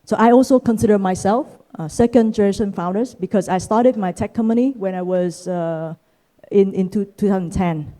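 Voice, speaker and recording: A woman's voice: mid-range voice, mellow. A woman in her thirties from Vietnam, giving a conference keynote talk with frequent "uh" fillers. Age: 30s. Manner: frequent "uh" fillers, measured delivery. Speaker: keynote speaker, venture capitalist. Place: conference stage.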